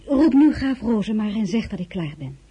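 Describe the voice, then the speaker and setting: An actress playing an elegant, composed woman of high rank in a radio drama, narrating and speaking in a measured, intimate way. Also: sing-song, dark tone, husky, highs cut off. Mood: composed, brisk. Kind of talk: radio play acting with first-person narration.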